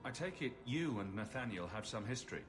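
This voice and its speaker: An actor playing a probing, businesslike champion with a direct, questioning manner in a fantasy video game. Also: male voice, mid-range voice, animated, brisk, music in the background, close to an everyday voice. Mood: inquisitive. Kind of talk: fantasy game cutscene acting. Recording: noisy ambience behind dialogue.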